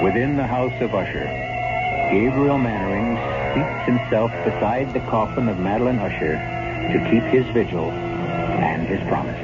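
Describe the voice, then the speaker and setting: A man narrating as host of an old-time radio drama in an ominous, theatrical, deliberate style. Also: lowish voice. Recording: muffled old radio recording. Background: loud music.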